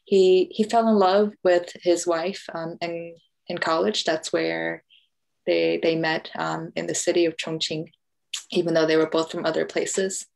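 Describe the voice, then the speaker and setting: A low-pitched American woman with vocal fry speaks as an interviewed author. She explains things thoughtfully and haltingly, in a conversational style, and keeps her intonation fairly flat. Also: poor audio. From United States. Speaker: author and journalist being interviewed. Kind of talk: conversational book talk interview.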